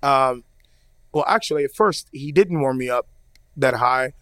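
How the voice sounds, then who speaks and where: A man's voice: medium pitch, measured pace, no echo, studio quality. A man, an opera tenor, chatting casually in an interview, rambling with many fillers. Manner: relaxed, rambling, many "you know" fillers.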